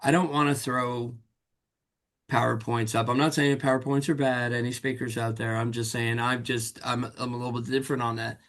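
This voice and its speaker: Animated man co-hosting a podcast. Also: medium pitch. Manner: animated, self-mocking, quick asides. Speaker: podcast co-host. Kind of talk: casual podcast chat.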